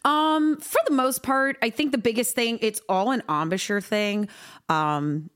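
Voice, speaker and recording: A woman's voice: fairly high pitch, a little slow, a bit bright, smooth. A woman in her thirties from New York, a guest musician talking in a casual podcast chat, bubbly and chatty with frequent laughs and filler words.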